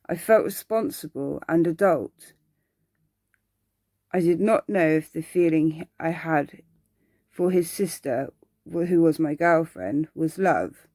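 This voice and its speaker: A woman reading a bedtime story in ASMR style, deliberately and with the odd stumble over words. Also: low-pitched, warm tone, dry recording, clean studio sound.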